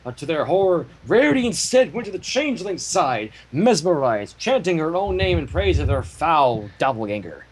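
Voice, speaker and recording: A man's voice: fairly high pitch, sing-song, normal speed, hardly any pauses, a little hiss or hum. A man reading fan fiction aloud as a theatrical storytelling narrator.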